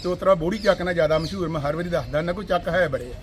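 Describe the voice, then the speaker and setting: A man's voice: on the high side, racing, slight background noise. An older man selling a buffalo, chatting in a folksy, humble way outdoors in a farmyard. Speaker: buffalo seller. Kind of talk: casual chat.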